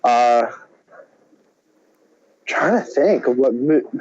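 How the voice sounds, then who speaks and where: A man's voice: mid-range voice, extremely slow, darkish tone, highs cut off. A man co-hosting a podcast in casual chat, rambling and opinionated as he goes. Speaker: podcast co-host.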